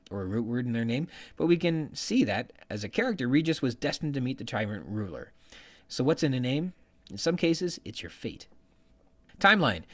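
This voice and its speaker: A man hosting a podcast, delivering scripted literary commentary with an emphatic delivery. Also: medium pitch. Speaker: podcast host.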